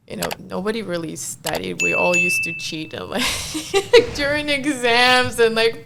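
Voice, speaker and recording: A woman in her thirties from Ukraine whose first language is not English, a physician guest chatting in a casual podcast interview, animated and laughing often. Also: mid-range voice, vocal fry, background noise.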